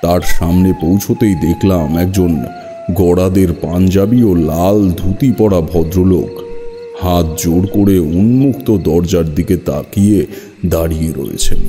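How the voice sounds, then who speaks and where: A man narrating a scripted first-person horror story in a measured, literary storytelling manner. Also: deep voice, warm tone. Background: music.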